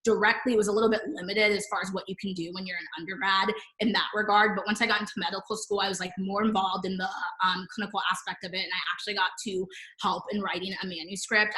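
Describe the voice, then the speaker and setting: Female, twenties, student panelist. Bright, forward voice, conversational delivery, noticeably roomy video-call sound. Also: medium pitch. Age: 20s. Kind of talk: informal panel answer. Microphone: computer mic on video call.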